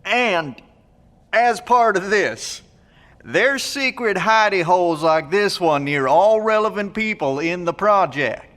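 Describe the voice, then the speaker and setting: A skeptical, folksy fellow in an audio drama. His voice sits high for a man and rings bright, with deliberate, colloquial phrasing. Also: animated, heavily acted.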